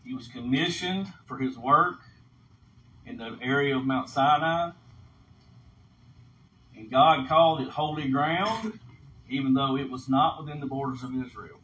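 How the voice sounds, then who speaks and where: Man, Bible teacher, explanatory lesson delivery, medium-pitched voice, dull recording, informal reading and commentary. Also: measured pace, pauses often, pushed and bright. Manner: explanatory, often checks in with a short "okay?". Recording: dull lo-fi sound, faint background noise.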